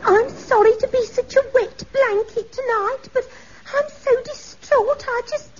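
An actress playing a tearful, melodramatic young fiancée in a radio comedy, sobbing and gushing. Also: female voice, very high voice, a little slow, a little hiss or hum. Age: young adult. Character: tearful melodramatic young woman, an office girl engaged to be married. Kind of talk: 1950s radio comedy acting. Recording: dull old radio recording.

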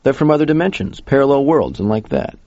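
A man's voice: medium pitch, lively intonation, fast talker, warm tone, pitched above the performer's own voice, lightly acted. Man voicing cocky, restless young guy, muffled. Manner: cocky, eager, colloquial. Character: cocky restless young male diner worker. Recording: muffled, roomy recording.

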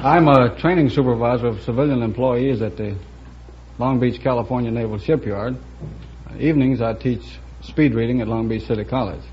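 A man's voice: mid-range voice, normal speed. Careful, dry American man, dull old recording. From Virginia, United States. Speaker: quiz show contestant. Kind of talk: answering interview questions. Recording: dull, noisy vintage broadcast recording.

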